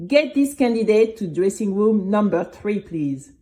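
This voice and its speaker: A woman's voice: medium pitch, animated, a little slow, mellow, lightly acted. A woman playing a bossy, impatient female TV studio staffer in an audio drama, curt and commanding.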